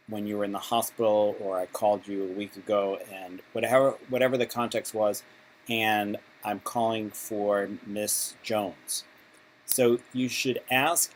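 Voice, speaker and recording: A man with a fairly low voice gives an informal lesson as an instructor. He feels his way through sentences conversationally, often restarting them. There is noticeable noise on the amateur recording. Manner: halting, conversational phrasing with repeated restarts. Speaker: instructor for patient volunteers. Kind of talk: informal instructional explanation with role-played examples. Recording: clearly noisy amateur recording.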